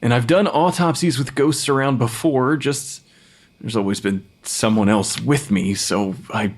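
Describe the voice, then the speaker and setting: A man's voice: medium pitch, unhurried. An actor playing a blunt young male pathologist in an audio drama, speaking in a matter-of-fact, clinical way. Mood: awkward, reassuring. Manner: clinical, matter-of-fact, dictating notes formally. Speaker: actor in fiction podcast.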